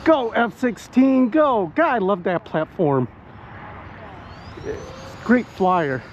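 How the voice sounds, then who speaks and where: A man with a high-pitched voice gives casual, excitable commentary while flying as a hobby pilot. He talks unhurriedly, addressing viewers as he goes. The outdoor recording is slightly muffled. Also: slow. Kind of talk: casual live flight commentary. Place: outdoors at a flying spot in wind and rain. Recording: muffled outdoor audio with background noise.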